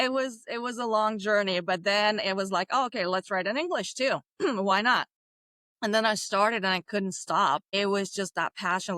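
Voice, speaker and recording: A woman's voice: medium pitch, no echo. Woman, dry close sound. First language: Bulgarian. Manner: animated, laughs often, many restarts. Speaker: guest author. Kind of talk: casual interview answers.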